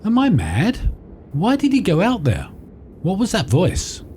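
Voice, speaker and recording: An actor playing a lost gentleman traveller in a radio drama, formal, polite and theatrical. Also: sits high, huge pitch swings, measured pace, exaggerated voice. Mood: alarmed. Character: lost gentleman traveller, well-mannered, excitable sceptic. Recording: clear background noise.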